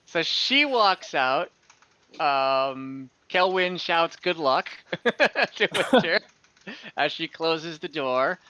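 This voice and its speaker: A man improvising narration as game master of an online tabletop game, haltingly searching for words. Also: on the high side, expressive, sharp tone, smooth, dry recording, muffled recording.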